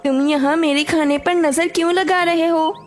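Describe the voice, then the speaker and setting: Woman as a high-pitched, bossy crocodile wife. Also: fairly even intonation, normal speed. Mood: scolding, selfish. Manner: sharp-tongued, scolding. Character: bossy, greedy crocodile wife, scolding and selfish. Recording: music behind the voice.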